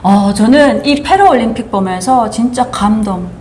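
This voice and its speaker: A woman from North Korea hosts a relaxed two-person chat, sincere and heartfelt in her telling. Her voice sits a little high for a woman, and she talks in her forties' steady, personal way. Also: normal speed, a crowd in the background. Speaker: host. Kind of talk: casual chat.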